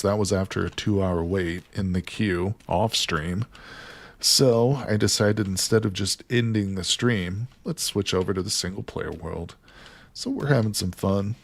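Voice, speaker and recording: Man, game streamer, low voice, gravelly, chatty with chat. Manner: chatty, jokes with chat, greets viewers by name. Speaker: live streamer.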